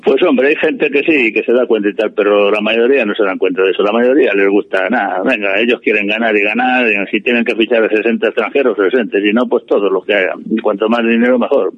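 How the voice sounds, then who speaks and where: A man's voice: on the low side, fairly even intonation, fast talker, few pauses, slightly rough, no echo, narrow, tinny audio. A man, a supporters' club president talking bluntly and plainly in a radio interview. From Madrid, Spain. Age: 80s. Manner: blunt, plain-spoken, opinionated.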